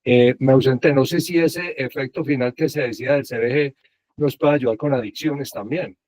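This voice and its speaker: A man's voice: mid-range voice, moderate pace, a little mellow, dry recording. A man asking a question on a video call, speaking politely and courteously. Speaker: audience member asking a question.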